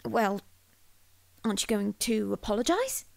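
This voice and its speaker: A woman's voice: medium pitch, sing-song, moderate pace, vocal fry, dry recording. A woman playing an earnest, indignant and melodramatic housemate in an audio drama. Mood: reproachful. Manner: melodramatic, accusing. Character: earnest, indignant, sentimental young female housemate.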